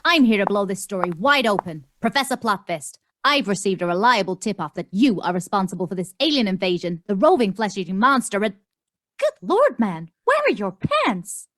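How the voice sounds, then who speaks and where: A woman as an animated, bold roving reporter. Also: mid-range voice, fairly quick. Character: bold, accusing roving female radio reporter. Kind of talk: spoof B-movie radio drama acting.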